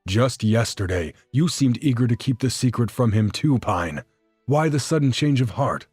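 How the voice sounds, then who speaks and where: A man's voice: medium pitch, expressive, moderate pace, a little mellow, breathy edge, no echo, soft background music. A man playing a cautious, rule-bound fairy guardian in a fan audio drama, arguing with reproach and sarcasm. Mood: disapproving.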